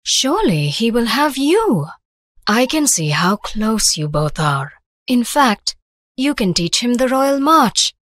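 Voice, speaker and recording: A young storybook noblewoman voiced by a woman, happy and reassuring. Her voice is lilting and lively, taken at a gentle pace in a dry recording. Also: a little high, takes it slowly, dry recording, pitched above the performer's own voice, full character voice. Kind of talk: children's storybook narration.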